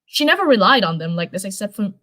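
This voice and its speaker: A woman's voice: medium pitch, very animated, quick pace, pushed and bright. Woman reading as playful, teasing teen boy. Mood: curious. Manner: playful, casual. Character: playful, teasing teenage boy, cheeky but caring. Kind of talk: storybook character voice.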